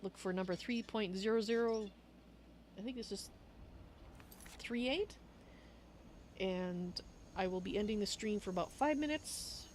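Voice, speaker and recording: A woman's voice: medium pitch, a little hiss or hum. A woman hosting a game livestream, chatting informally to viewers and thinking aloud. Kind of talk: casual livestream chat to viewers.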